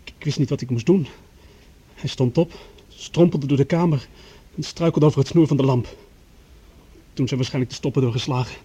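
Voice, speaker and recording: A man's voice: mid-range voice, brisk, mellow, breathy edge, close to an everyday voice. An actor playing a panicked, defensive young former assistant in a radio drama, pleading and stammering as he protests. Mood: frightened, defensive. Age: young adult.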